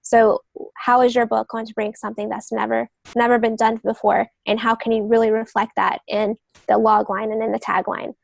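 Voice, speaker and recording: Higher-pitched woman with a creaky edge. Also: level tone, normal speed, no echo. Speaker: guest novelist and literary agent. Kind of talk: conversational writing advice.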